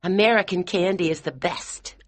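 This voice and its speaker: A woman's voice: on the low side, moderate pace, no room sound, close to an everyday voice. A woman voicing a small, outspoken, candy-loving American boy in an audiobook, with a blunt, childlike manner. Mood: blunt, childish. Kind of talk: graded audiobook character dialogue.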